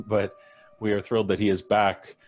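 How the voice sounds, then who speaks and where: A man's voice: lowish voice, fairly even intonation, moderate pace. A man with a North American accent hosting a casual live stream with jovial, playful banter. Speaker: host.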